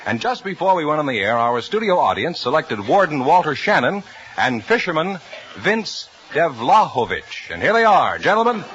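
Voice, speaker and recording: A man announces the contestants in a formal, polished old-time broadcast manner. His voice is higher and lively in pitch. The archival recording sounds muffled, with a faint hiss underneath. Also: normal speed. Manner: smooth, formal introducing. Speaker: radio announcer. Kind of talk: scripted show announcement.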